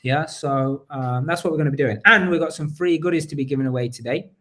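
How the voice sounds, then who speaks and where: A man hosting a live online talk show, with a voice that sits a little high. He talks with plenty of energy, speaks straight to his viewers, and often circles back and repeats himself as he goes. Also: fast. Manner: energetic, repeats himself, asks the audience to engage. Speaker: host.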